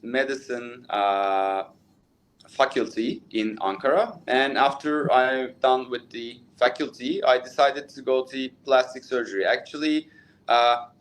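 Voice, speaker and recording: A man's voice: mid-range voice, poor audio. A man in his thirties with a Turkish accent, a plastic surgeon answering interview questions in English at length, with many fillers and restarts. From Turkey.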